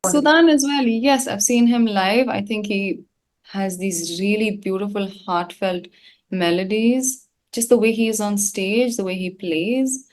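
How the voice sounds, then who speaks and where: A woman's voice: medium pitch, unhurried, a little mellow. A woman chatting casually as an interview guest, effusive and full of slang. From India. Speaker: singer and musician, interview guest. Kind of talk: casual chat.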